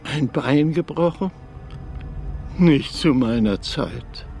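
Slow, curt old man. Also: fairly high pitch, lively intonation, vocal fry, a little mellow, faint music behind, full character voice. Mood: dismissive. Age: older. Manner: curt, dismissive. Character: gruff, curt old father of missing woman. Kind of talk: German radio crime drama acting.

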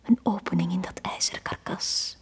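An actress playing a drowned young girl in a radio play, telling her story in a hushed, breathy whisper. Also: lowish voice, moderate pace, close to an everyday voice. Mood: hushed, fearful. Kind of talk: dramatic radio play monologue.

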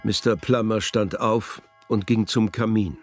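A male storyteller in a radio adaptation of a novel. He narrates wryly in a lowish voice, with soft music underneath. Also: a little slow. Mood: wry, measured. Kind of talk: scripted literary radio narration.

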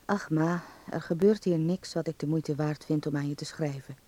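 An actor playing a young acting student calmly voicing a letter to his mother in a radio drama, measured and confiding. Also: a little high, natural voice.

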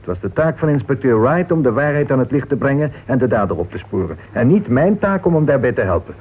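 An actor playing a cool, self-assured male crime novelist in a radio detective drama, explaining things in a measured way. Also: mid-range voice, very animated, slightly rough. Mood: cool, self-justifying. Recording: thin, tinny old radio recording.

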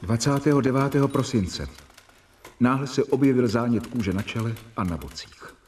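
An actor in a radio play, playing a young doctor who narrates his medical journal in measured, reporting tones. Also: mid-range voice, a little mellow, distant mic, slight background noise, natural voice. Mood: earnest. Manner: measured, reporting dates and symptoms.

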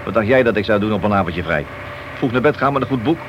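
An actor playing a hard-nosed, cynical police detective in a Dutch radio play, blunt, needling and sardonic in manner. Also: male voice, on the low side, expressive, fast talker, lo-fi sound, lightly acted. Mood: brusque. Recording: noisy, hissy old radio drama recording.

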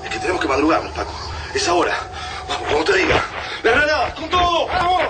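A man's voice: sits high, wildly swooping pitch, quick pace, heavy background noise. A man with an Argentine accent playing a brave, resolute resistance leader in a radio drama, earnest and commanding. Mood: urgent, shouting. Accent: Argentine, Rioplatense. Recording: studio radio play, loud dramatic music.

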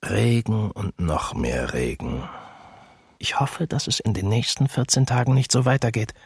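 An actor playing a homesick German soldier in his early thirties, reading his diary in a radio docudrama with husky, measured delivery. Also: on the low side, expressive, no echo, clean studio sound, exaggerated voice. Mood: brooding, grim. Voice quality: husky, gravelly.

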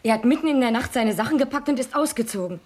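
A woman's voice: a little high, lively intonation, fast talker, darkish tone. An actress playing a scornful, blunt woman in a gang of crooks in a radio crime comedy. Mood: urgent. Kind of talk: 1970s German radio crime comedy acting.

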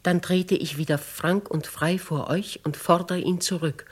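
Husky, imperious noblewoman. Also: on the low side, fairly even intonation, a little slow. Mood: hushed, pondering. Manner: grave, commanding, theatrical. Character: dignified, compassionate noblewoman, imperious with her servant. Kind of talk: 1950s German radio play acting.

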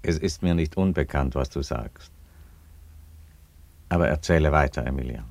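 An actor playing an aging Roman emperor in a radio play, an unhurried, wry father with a dry, sententious delivery. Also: very deep voice, gravelly, darkish tone. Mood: calm, detached.

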